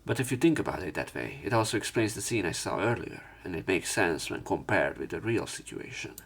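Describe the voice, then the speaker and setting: A man's voice: low-pitched, sing-song, fairly quick, creaky, a bit bright, breathy edge. A man reading visual novel narration aloud for a let's play.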